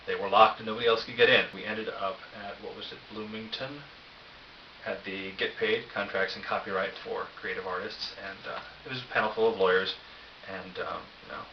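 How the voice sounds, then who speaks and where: A man's voice: sits low, recorded from a distance. A middle-aged man giving a casual, rambling vlog recap in a hesitant, wry, self-deprecating way, recorded on a cheap camera. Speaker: vlogger. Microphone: cheap camera, some distance away. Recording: roomy echo, dull cheap camera mic, hiss.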